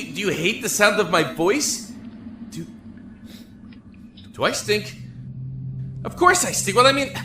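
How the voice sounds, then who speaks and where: An actor playing a stubborn, lovesick young prisoner in an audio drama, earnest and pleading, with a stammer at times. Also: male voice, high-pitched. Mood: hurt, pleading. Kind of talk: audio drama acting with reflective narration. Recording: roomy echo with music underneath.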